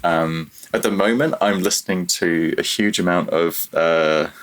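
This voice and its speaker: A man's voice: deep voice, a little slow, fairly bright tone. A middle-aged British man chatting casually as an interview guest, rambling informally and trailing off mid-thought. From United Kingdom. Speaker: interview guest, freelance audio programmer. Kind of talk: casual chat.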